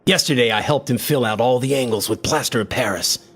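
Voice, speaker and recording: Husky, anxious everyman, played by a man. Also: mid-range voice, brisk, fairly bright tone. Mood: uneasy. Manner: earnest, worried, dramatic. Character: anxious, loyal male friend, uneasy witness to horror. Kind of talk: radio horror drama acting. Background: faint music.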